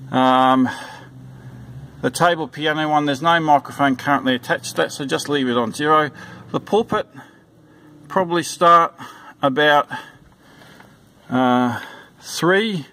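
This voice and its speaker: A man giving an informal, unscripted instructional walkthrough in a plain-spoken, halting way, repeating his instructions. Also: a little high, a bit bright. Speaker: church sound system instructor. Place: indoors in a church.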